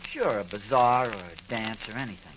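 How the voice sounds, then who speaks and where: A man's voice: lowish voice, moderate pace, close to an everyday voice. A man acting a defensive, stubborn middle-aged husband and father in an old-time radio situation comedy. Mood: defensive, huffy. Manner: grumbling, protesting, self-justifying. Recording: muffled, crackly old radio recording.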